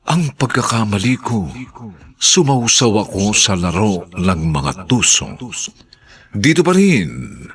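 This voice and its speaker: Deep, gravelly, dramatic Filipino male announcer. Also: very animated, a bit bright. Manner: dramatic, deliberate. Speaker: announcer and narrator. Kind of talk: scripted radio drama narration.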